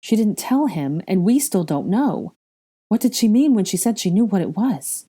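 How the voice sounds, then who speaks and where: A woman's voice: medium pitch, very animated, moderate pace, warm tone, slightly rough, voice pushed up. A woman voicing a disbelieving, puzzled young wizard man in an audiobook story, questioning in manner. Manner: questioning, incredulous.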